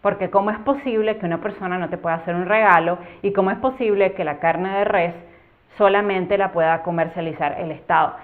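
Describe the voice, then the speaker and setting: A Cuban woman in her thirties, telling a childhood story with earnest, expressive delivery as a YouTube educator. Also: fairly low pitch, a little fast. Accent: Cuban Spanish. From Cuba. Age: 30s. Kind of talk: storytime vlog. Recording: phone-like narrow band.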